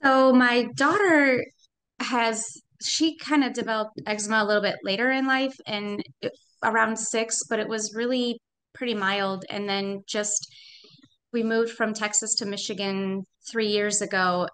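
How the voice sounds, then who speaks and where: Thirties woman, smooth resonant voice, earnest parent, video-call audio. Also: a little high, steady tone, measured pace, fairly bright tone. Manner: earnest, thoughtful, trailing hedges. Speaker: parent in a program. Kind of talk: casual conversation. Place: home room.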